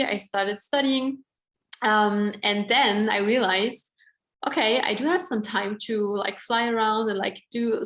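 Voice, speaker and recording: A woman's voice: mid-range voice, a bit bright, roomy sound. A woman in her twenties with an Austrian German accent, presenting at an online event in a chatty way, full of "like" and "um". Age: 20s. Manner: chatty, many "like" and "um" fillers.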